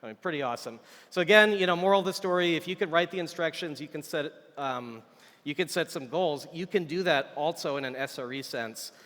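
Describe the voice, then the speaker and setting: A man with a sharp, bright voice presents a live software demo in a casual, chatty way. He thinks aloud as he works. His voice rings out in a conference hall with long reverb. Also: fairly high pitch, fairly quick. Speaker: software engineer demonstrating a product on stage. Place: large conference hall stage.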